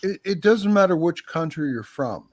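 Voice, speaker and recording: A man's voice: a little high, animated, moderate pace, a crowd in the background. Skeptical man challenging his guest. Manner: skeptical, challenging, repeats himself. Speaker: livestream host. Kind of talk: heated casual debate.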